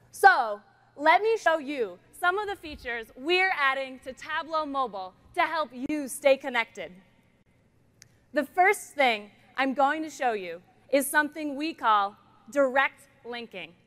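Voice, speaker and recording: Upbeat high-voiced woman demoing on stage. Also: fairly bright tone. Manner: upbeat, playful presenting with jokes. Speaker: product designer presenting. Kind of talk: keynote product demo. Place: large conference stage.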